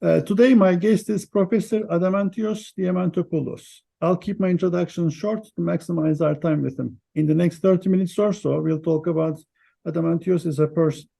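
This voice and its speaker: A male interviewer with a slightly higher-pitched voice that carries a gravelly edge. He speaks as a host, moving between prepared introduction and questions in a mellow, relaxed tone. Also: fairly quick.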